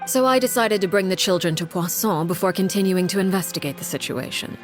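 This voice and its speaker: Woman acting a low, commanding, composed leader. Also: natural voice. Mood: calm, grave. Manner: formal, measured, quietly authoritative. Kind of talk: dramatic video game dialogue. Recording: faint music behind the voice.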